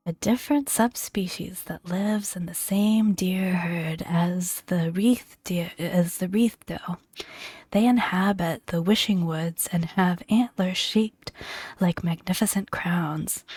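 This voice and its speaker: A woman doing soft-spoken ASMR game commentary in a breathy, gentle near-whisper, murmuring along without hurry. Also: lowish voice, no echo. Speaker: video game content creator.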